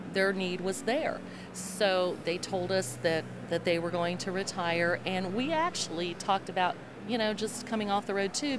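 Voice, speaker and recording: A middle-aged woman with a low speaking voice talks brightly about her singing group. She speaks into a handheld microphone at a busy convention, and a noisy hall hums behind her. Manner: low voice, chatty and upbeat. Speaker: gospel singer. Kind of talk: interview answers. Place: convention hall interview booth. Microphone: handheld mic held by host.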